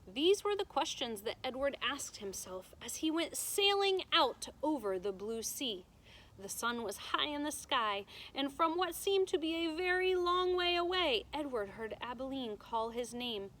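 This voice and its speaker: Woman teacher, storybook read-aloud, very bright voice, highish pitch, deliberate, expressive, faint noise. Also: rough recording. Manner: deliberate, expressive, explains words to children. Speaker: teacher reading to students.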